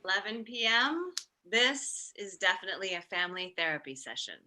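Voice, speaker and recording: A woman playing a dry, put-upon family therapist with deadpan delivery in an animated comedy read. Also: mid-range voice, moderate pace. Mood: dry, bemused.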